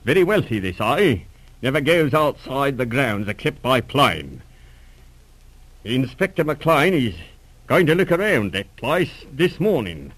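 A man's voice: medium pitch, unhurried, husky, exaggerated voice. A man playing a deferential, eager country policeman with folksy phrasing, in 1940s radio drama style. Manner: folksy, respectful, lively. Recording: old radio serial recording.

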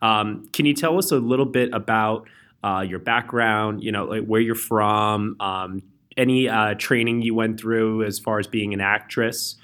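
A man hosting a film interview, eager and polite, with many fillers. His voice sits a little low. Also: fairly even intonation, unhurried, a bit bright. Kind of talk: casual interview.

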